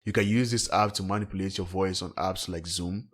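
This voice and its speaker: Deep husky guy doing a step by step tech tutorial voiceover. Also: steady tone, brisk, a bit bright. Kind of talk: scripted step-by-step software tutorial narration.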